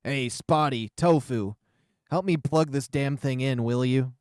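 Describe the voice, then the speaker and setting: A man voicing a brash old female sheep, loud, blunt and insistent, in a visual novel reading. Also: medium pitch, a little slow, smooth, dry recording, studio quality, close to an everyday voice. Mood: demanding.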